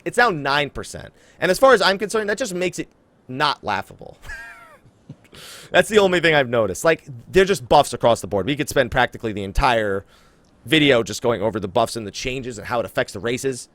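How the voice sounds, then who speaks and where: A man hosting a casual gaming podcast, talking in an animated way and exaggerating for emphasis. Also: medium pitch, a little fast, no room sound. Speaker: podcast host. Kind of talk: casual game podcast chat.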